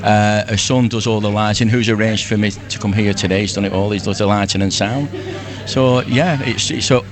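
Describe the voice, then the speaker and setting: A man's voice: fairly low pitch, fairly even intonation, fairly quick, a crowd in the background. A man in his sixties with a Lancashire accent, chatting effusively as an interview guest and often repeating himself. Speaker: interview guest, amateur pantomime performer. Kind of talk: casual live radio interview.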